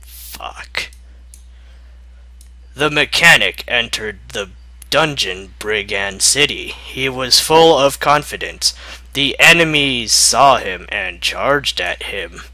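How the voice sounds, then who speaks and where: A man's voice: medium pitch, animated, takes it slowly, bright, forceful tone, no room sound. A man reading a story aloud for comedy, theatrical in delivery, with exasperated asides. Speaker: comedic fan fiction reader.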